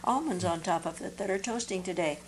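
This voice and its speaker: A woman's voice: lowish voice, fairly quick, slight background noise. An older woman on a studio panel sharing practical home cooking tips in a chatty, homely way. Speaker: panel guest. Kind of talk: conversational studio panel chat. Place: TV studio set, indoors.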